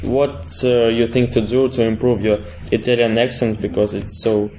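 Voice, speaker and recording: A man's voice: medium pitch, little pitch movement, normal speed, music in the background. A man hosting a student podcast in slightly non-native English. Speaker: podcast host.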